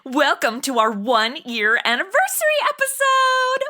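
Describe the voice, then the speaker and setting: A woman's voice: sits high, huge pitch swings, unhurried, bright, forceful tone. A woman giving an upbeat, scripted podcast introduction as host.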